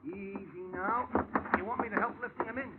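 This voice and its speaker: An actor playing an excitable, grumbling male assistant in a 1940s radio adventure. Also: high voice, a little fast, natural voice. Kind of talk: 1940s radio drama acting. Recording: dull, noisy 1949 radio recording.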